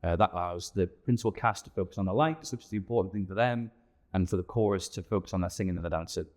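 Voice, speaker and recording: A man's voice: deep voice, quick pace. A man chatting informally and enthusiastically in an interview as a theatre director. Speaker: pantomime director and producer being interviewed. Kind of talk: casual interview chat.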